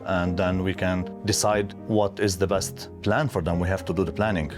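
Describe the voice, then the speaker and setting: A man who owns a renovation business, speaking earnestly in simple, slightly halting phrases. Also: deep voice, steady tone. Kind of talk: company testimonial interview. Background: clear guitar music.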